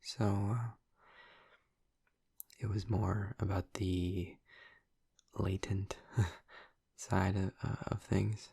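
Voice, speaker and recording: Husky, low-voiced gentle vampire boyfriend, played by a man. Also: little pitch movement, dry recording, clean studio sound, exaggerated voice. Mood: caring, attentive. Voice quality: husky, breathy, creaky. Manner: soft, halting, breathy, tentative. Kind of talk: intimate audio roleplay, speaking softly to the listener. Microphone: close, dry microphone.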